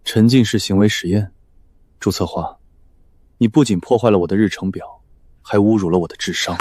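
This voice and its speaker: An actor playing a cool, domineering young company boss with a deadpan, sarcastic and controlled manner in an animated drama. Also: male voice, lowish voice, moderate pace, husky. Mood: sardonic. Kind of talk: animated short drama dialogue.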